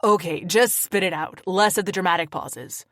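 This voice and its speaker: Woman voicing a defensive young man, slightly lower voice, snappy, dry close recording. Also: normal speed, no echo, lightly acted. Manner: puzzled, then snapping. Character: confident young man, brushing off blame.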